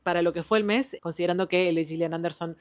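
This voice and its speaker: A woman's voice: lowish voice, fairly even intonation, fast. A woman with an Argentine Spanish accent, chatting enthusiastically about the books she has been reading, with frequent "eh" and "em" fillers. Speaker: book vlogger. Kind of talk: casual book wrap-up chat.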